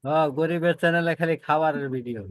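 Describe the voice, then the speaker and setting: Casting: Bangladeshi man moderating an informal online meeting, quick delivery, directing, repetitive, very dry sound. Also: on the high side, smooth, no room sound. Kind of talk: casual live discussion. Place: online video call.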